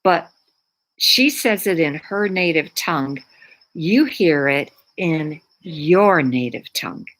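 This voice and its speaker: A woman from Poland, whose first language is Polish, talking casually about her crystals as a spiritual teacher, pausing often for words. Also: low-pitched, animated, a bit bright, poor audio. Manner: unhurried, searching for words with many fillers. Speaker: spiritual teacher and Reiki practitioner.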